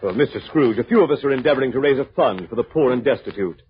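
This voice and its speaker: An actor playing a courteous, earnest gentleman collecting for charity, speaking formally in a 1930s radio drama. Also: medium pitch, animated, fast talker, slight background noise, lightly acted. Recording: muffled old radio recording.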